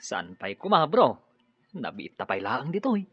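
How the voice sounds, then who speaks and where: A man's voice: mid-range voice, huge pitch swings, a little slow, husky, no room sound, heavily acted. A man playing a reluctant, mildly complaining coworker who dawdles, in an Ilocano radio drama. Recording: dull, lo-fi audio.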